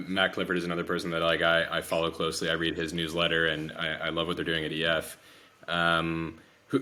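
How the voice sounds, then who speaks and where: A man's voice: low voice, fairly bright tone. A man hosting a casual interview, asking long questions that he rephrases tentatively as he goes. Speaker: podcast host interviewer.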